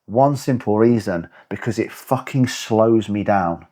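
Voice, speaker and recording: A man's voice: fairly low pitch, a little slow, warm tone. A man in his forties, a coach hosting his own podcast, talking bluntly and emphatically and swearing for emphasis. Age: 40s. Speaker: fitness and lifestyle coach, podcast host. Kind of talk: motivational podcast monologue.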